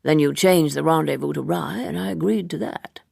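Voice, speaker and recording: A woman voicing a polite, self-possessed, evasive gentleman in an audiobook, with a measured, formal and courteous manner. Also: sits low, creaky, husky, no echo, studio quality, exaggerated voice. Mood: cool, restrained. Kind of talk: audiobook character dialogue.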